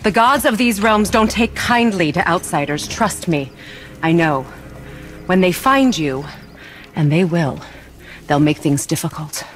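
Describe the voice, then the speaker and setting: A woman acting a solitary, knowing forest witch in a video game, speaking in a measured, firm and cryptic way. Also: lowish voice, lively intonation. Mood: calm, knowing. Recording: game music behind the voice.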